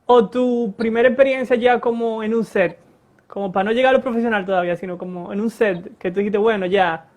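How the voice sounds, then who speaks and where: A man's voice: sits high, fairly even intonation, fairly quick, darkish tone. A man hosting a live interview on a video call, asking questions and agreeing with short replies. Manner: supportive, brief agreeing replies. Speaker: host. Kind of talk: casual interview chat.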